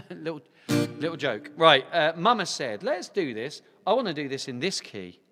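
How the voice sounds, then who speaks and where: A man's voice: a little high, big pitch swings, moderate pace, pushed and bright, faint music behind. A man hosting a singing group livestream, chatting casually to viewers in a rambling, self-deprecating, jokey way, speaking into a talking mic at a home setup. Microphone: talking microphone beside a separate vocal mic.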